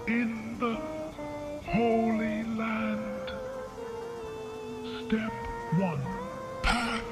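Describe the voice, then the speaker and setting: A woman voicing an otherworldly angel in an eerie tutorial, giving dire warnings in a halting, commanding way. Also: a little high. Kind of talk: slow eerie instructional narration. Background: music, clearly heard.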